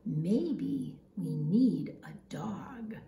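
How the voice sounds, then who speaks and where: A woman voicing a firm, skeptical mother from a storybook, with emphatic, measured delivery. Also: fairly low pitch, very animated, vocal fry, mellow, full character voice. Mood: conceding. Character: boy's mother, firm and skeptical about pets. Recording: roomy home echo.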